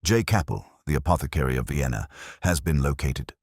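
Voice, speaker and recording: A man's voice: a bass voice, animated, a little fast, a little mellow, slightly rough, no echo, studio quality, exaggerated voice. A man voicing a keen, commanding detective in an audiobook, precise, formal and theatrical in his phrasing. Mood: intent, precise.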